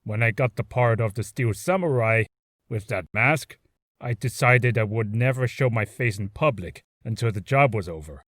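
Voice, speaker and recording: A man voicing a sheepish, earnest TV hero actor from a video game, speaking haltingly. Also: medium pitch, lively intonation, fairly bright tone, no echo, studio quality. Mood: apologetic. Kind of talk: video game character voice acting.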